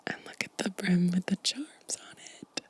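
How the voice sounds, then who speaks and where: A woman whispering gently and softly in an ASMR show-and-tell style, breathy and unhurried. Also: on the low side. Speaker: ASMR video creator.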